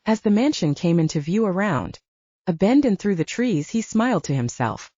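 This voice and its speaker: A woman's voice: mid-range voice, animated, normal speed, dark tone, dry recording. A woman reading scripted fiction as an audiobook narrator, in a slightly dull recording.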